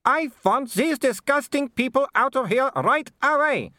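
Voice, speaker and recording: A man voicing a haughty, older aristocratic werewolf mother with sharp, clipped, scornful speech in an audiobook. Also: sits high, expressive, moderate pace, no room sound, clean studio sound, voice pushed up. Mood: haughty outrage. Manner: sharp, clipped, snapping delivery.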